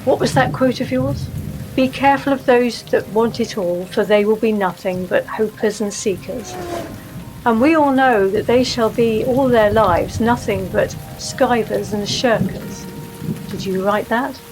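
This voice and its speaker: A woman's voice: a little high, unhurried, music in the background, lightly acted, low-quality recording. A woman acting in a radio play as a wistful, reproachful old friend, plain-spoken and probing. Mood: subdued, probing.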